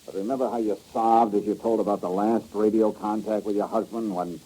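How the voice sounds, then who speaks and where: An actor playing a tough, wry insurance investigator in a 1950s radio detective drama, blunt and sardonic in delivery. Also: male voice, fairly low pitch, fairly even intonation, a little fast, dark tone, husky, rough recording. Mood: sardonic accusing.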